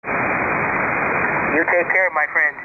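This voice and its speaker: A man's voice: a little high, steady tone, takes it slowly. Older guy on a tinny radio w static, chatty and friendly. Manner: chatty and warm, says "my friend". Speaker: ham radio caller.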